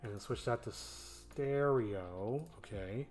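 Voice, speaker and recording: A man thinking aloud in casual, self-questioning, sometimes profane commentary while he edits his animated show. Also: mid-range voice, takes it slowly, soft background music.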